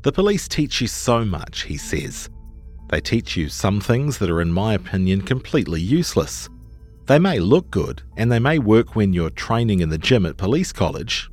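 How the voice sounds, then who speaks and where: A man with a fairly low voice reads scripted journalism in a measured, articulate way. His intonation moves up and down in a lively manner as he narrates a long-form article, with faint music in the recording.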